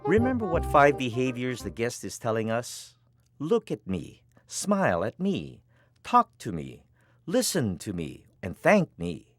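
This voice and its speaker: A man delivering scripted instructional narration as the narrator of a training film, with deliberate, emphatic phrasing. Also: mid-range voice, huge pitch swings, soft background music.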